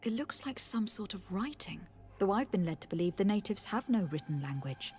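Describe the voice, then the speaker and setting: A woman playing a courteous, inquisitive noblewoman in a fantasy video game, formal and thoughtful, with a husky voice. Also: mid-range voice, very animated, a little fast, darkish tone, faint music behind. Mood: thoughtful, composed. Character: courteous, inquisitive young noblewoman envoy and adventurer. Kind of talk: video game dialogue acting.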